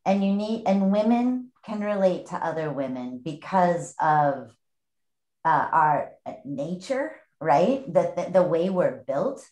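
A woman in her forties, a sales executive speaking in a measured way on a webinar panel over a video call. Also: lowish voice, very animated, a little mellow. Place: home room, indoors. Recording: echoey room on a video call.

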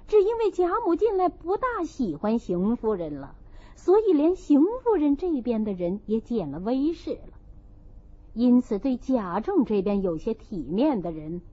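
A woman reading a classic novel aloud as an audiobook narrator, in an expressive storytelling style. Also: high-pitched, breathy edge. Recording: dull, lo-fi audio.

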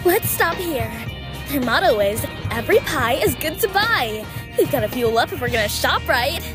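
A woman playing a vain, excitable teenage fairy girl in a cartoon fandub, with a theatrical, bossy manner. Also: sits high, moderate pace, over music. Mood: bubbly. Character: bubbly, vain teenage fairy girl, fashion-mad and feisty.

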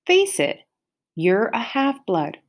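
A confident, know-it-all teenage girl from a storybook, pitched well up and pushing her words out a little louder than the narration, as a woman reading aloud puts her on. She explains things earnestly and quickly. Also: very animated, full character voice. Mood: insistent.

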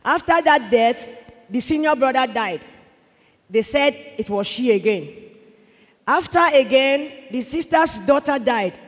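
A woman's voice: high voice, expressive, a little slow. A middle-aged woman with a Cameroonian accent giving an earnest testimony as a witness, into a handheld microphone. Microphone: handheld microphone held for her. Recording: echoey large church hall.

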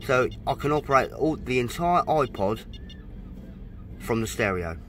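A man's voice: mid-range voice, lively intonation, fairly bright tone, faint music behind. A man from the United Kingdom, casually demonstrating his boat stereo outdoors and reading out menu items as he goes. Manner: chatty, unhurried, reads out menu items. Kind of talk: casual hands-on product demo. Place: outdoors at a boat in a dry stack.

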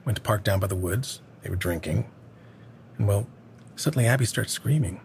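A man playing a low, halting older small-town storyteller. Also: a little mellow. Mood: somber, reminiscing. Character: earnest older small-town man recalling a dark legend. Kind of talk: naturalistic audio drama acting. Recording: clear background ambience noise.

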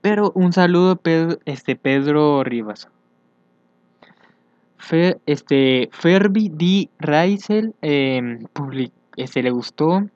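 A young man chatting casually as he reads social media aloud for a vlog, hesitant and thinking out loud. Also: fairly high pitch, extremely slow, no room sound. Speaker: fandub YouTuber. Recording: dull, lo-fi home microphone.

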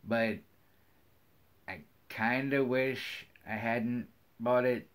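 A middle-aged American man talks to his viewers in a casual, folksy way. He takes his time, speaking slowly at an average male pitch. He rambles and circles back over his own phrases. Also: fairly even intonation, smooth. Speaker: hobby vlogger. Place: wood-paneled room at home, indoors.

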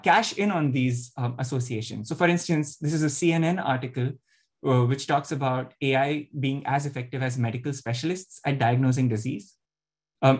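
A man giving an academic talk over a video call, explaining with frequent fillers and restarts. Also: fairly high pitch. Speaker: panel presenter. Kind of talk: academic presentation.

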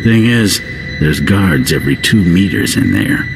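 A man's voice: low voice, sing-song, unhurried, gravelly, heavily acted. A man acting a wily old resistance fighter in a video game, casual, wry and folksy. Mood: wry, sly. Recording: music behind the voice.

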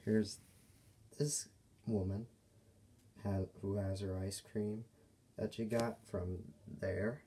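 Slow, low-voiced man with a mellow tone. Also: steady tone, a little hiss or hum. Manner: halting, broken phrasing. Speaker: toy reviewer. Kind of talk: casual unscripted show-and-tell. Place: indoors at a table by a window.

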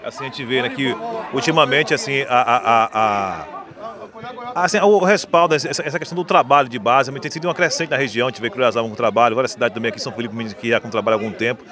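Man in his 30s, radio reporter, rattles along very fast, tag questions. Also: mid-range voice, fairly bright tone, some chatter behind. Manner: rambling, leans on tag questions. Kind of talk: outdoor interview. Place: stadium stands outdoors. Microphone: handheld mic.

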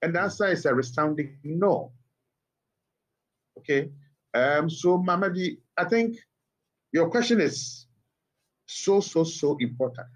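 A middle-aged man with a Ghanaian accent, a guest speaking by phone in a TV interview, measured and emphatic with pointed rhetorical questions. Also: a little high, animated, poor audio. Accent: Ghanaian English. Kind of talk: TV interview commentary. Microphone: phone line.

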